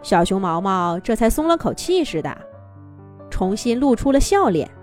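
A woman narrating a children's story in a gentle, expressive, singsong way. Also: medium pitch, moderate pace. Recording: soft background music.

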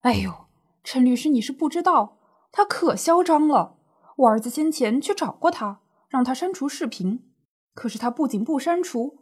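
A woman plays an older small-business auntie in a radio drama, with a high voice that rises and falls widely. She grumbles and exclaims in homely, colloquial speech, plaintive and indignant at being wronged. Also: a little mellow. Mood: aggrieved. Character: distressed, indignant older woman running a small snack shop.

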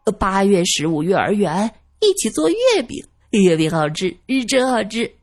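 A woman's voice: mid-range voice, sing-song, normal speed, a little mellow, no echo, studio quality, faint music behind. A woman voicing a giddy, petulant, simple-minded man who babbles and taunts like a child in an audiobook. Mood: giddy, teasing.

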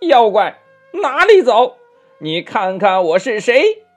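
A man's voice: high voice, expressive, unhurried, pitched above the performer's own voice. A man voicing a bold, taunting monkey king in a storybook tale, cocky and challenging. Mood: fierce challenge. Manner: shouted challenges, cocky teasing. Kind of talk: children's storybook character voice. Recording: muffled lo-fi audio with music behind.

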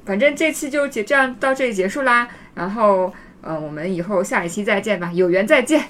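A woman's voice: mid-range voice, expressive, fairly quick, fairly bright tone. A talkative woman hosting a casual group chat, speaking in a reflective way. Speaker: podcast host. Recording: faint background noise.

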